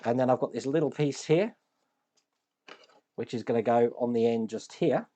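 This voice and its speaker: A man hosting a model-building channel, his voice hoarse and deeper than usual from shouting, chatting casually and thinking aloud as he works with his hands. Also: normal speed. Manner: chatty, self-deprecating, thinks aloud while working.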